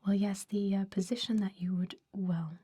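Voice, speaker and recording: A woman voicing a centuries-old vampire job interviewer in a breathy whisper, chatty and confiding, in an ASMR roleplay. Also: medium pitch, steady tone, moderate pace, mellow, lightly acted. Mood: gossipy, confiding. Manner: gossipy, confiding, hesitant rambling. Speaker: human resources interviewer.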